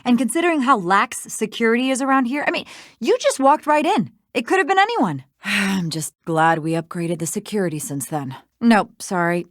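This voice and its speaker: An actress playing a busy, sardonic small-town lawyer in a video game, with a dry, exasperated, matter-of-fact manner. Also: female voice, medium pitch, sing-song, moderate pace, no echo, lightly acted. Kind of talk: scripted video game dialogue.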